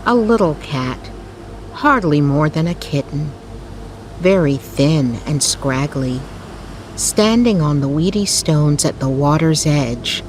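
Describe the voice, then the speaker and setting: A woman narrating a scripted bedtime story in a soothing, measured manner with careful phrasing. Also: sits low, expressive, darkish tone, slight background noise, poor audio. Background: music.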